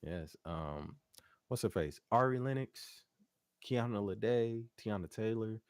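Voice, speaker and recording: Deep-voiced man chatting as interview guest. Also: takes it slowly, clear, resonant tone, dry recording, clean studio sound. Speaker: interview guest, R&B singer and producer. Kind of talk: casual interview chat.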